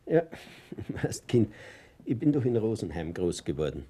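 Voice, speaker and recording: A man's voice: fairly low pitch, sing-song, moderate pace, mellow, husky. An actor playing a naive, chatty older Bavarian man who boasts to a child in a radio play, rambling and confiding. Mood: fond, amused. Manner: rambling, chuckling, confiding storyteller.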